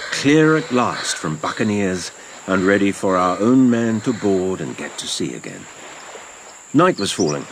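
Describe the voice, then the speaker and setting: A man narrates as an adventurer looking back on his boyhood. His voice is deep and creaky, with dramatic swings of pitch. The delivery is literary, theatrical storytelling. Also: full character voice.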